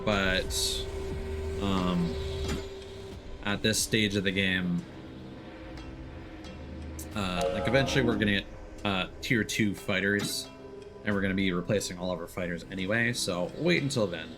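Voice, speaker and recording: A man with a fairly low voice gives casual live commentary on a video game stream. Game music plays clearly behind him. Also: a little slow, pauses often.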